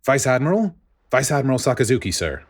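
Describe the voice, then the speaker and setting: A man acting out a wide-eyed, nervous young male soldier in an audio story. The voice is lifted well above a deep natural register, with timid, stammering hesitation. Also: sing-song, fast talker.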